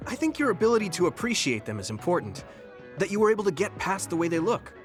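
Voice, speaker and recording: An earnest young video game soldier, fairly high in pitch with lively intonation. He is gentle, kind and eager to help, and music plays behind his lines. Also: quick pace. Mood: friendly, upbeat. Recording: background music over dialogue.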